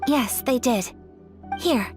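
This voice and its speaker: A woman voicing a gentle, refined young noblewoman who speaks politely and formally, in video game dialogue. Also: a little high, takes it slowly, over music. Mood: composed. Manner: polite, formal, softly earnest.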